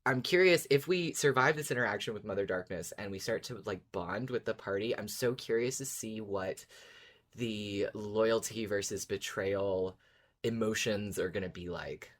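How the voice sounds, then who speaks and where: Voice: medium pitch, moderate pace, a bit bright. A podcast co-host talking casually and enthusiastically, agreeing emphatically and repeating words. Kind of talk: casual fan discussion.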